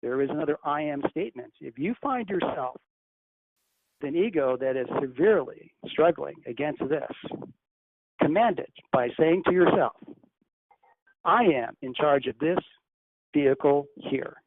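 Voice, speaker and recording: A man speaking as a channeled spirit teacher, guiding a breathing meditation in a slow, deliberate, instructive way. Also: on the high side, lively intonation. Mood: commanding. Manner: slow, deliberate, instructive, softly hushed in exercises. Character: ascended master spirit, calm, commanding teacher. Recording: tinny phone line, faint hiss.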